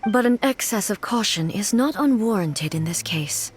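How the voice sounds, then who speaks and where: A woman's voice: medium pitch, expressive, faint music behind, close to an everyday voice. Ei, a woman voicing a solemn ancient goddess. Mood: grave. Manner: measured, formal and grave. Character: ancient stern goddess ruler, solemn and formal. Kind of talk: game dialogue.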